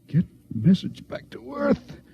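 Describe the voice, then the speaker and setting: Dying doctor, very slow creaky gasps. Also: male voice, high-pitched, wildly swooping pitch, full character voice. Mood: fading, urgent. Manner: halting, laboured, broken phrases. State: weak, gasping. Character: dying male ship's doctor, weakly gasping warnings. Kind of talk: 1950s radio drama acting. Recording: muffled, faintly noisy vintage radio recording.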